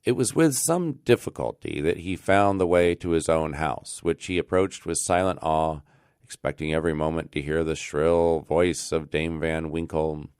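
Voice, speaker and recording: A man with a low-pitched American voice narrates an old story in a dry, close recording. His delivery is deliberate and expressive, leaning into key words for dramatic emphasis. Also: smooth, no room sound. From United States. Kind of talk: scripted narration of a classic tale.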